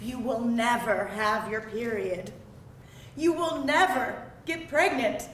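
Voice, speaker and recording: A woman playing an overearnest female doctor or therapist at a live script read, grave and deliberate. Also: a bit bright. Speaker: actor at a screenplay table read. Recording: roomy, distant mic, faint rumbling noise.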